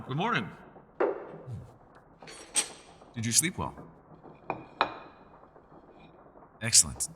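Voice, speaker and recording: A man voicing a centuries-old elf in a fantasy roleplay, gentle, socially awkward and chatty, with formal, old-fashioned, apologetic phrasing. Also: medium pitch, slight background noise, heavily acted. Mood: cordial. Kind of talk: fantasy ASMR roleplay voice acting.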